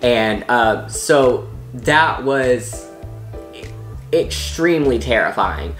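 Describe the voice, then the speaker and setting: A man's voice: medium pitch, lively intonation, drawn-out words, fairly bright tone. Young man, American accent, casual vlog talk, rambling, echoey room. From United States. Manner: rambling, many "like"s and restarts. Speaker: travel vlogger. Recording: roomy echo with faint music.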